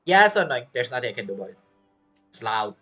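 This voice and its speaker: A game streamer with a husky, medium-pitched voice commentates casually. The talk rambles as thoughts are spoken aloud, with very lively intonation. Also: quick pace, faint music behind. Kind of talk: casual live gameplay commentary.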